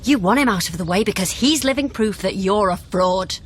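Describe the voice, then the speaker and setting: A woman's voice: medium pitch, animated, brisk, slight background noise, natural voice. A woman playing a determined, confrontational girlfriend of an accused man in a naturalistic radio drama. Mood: accusing, insistent.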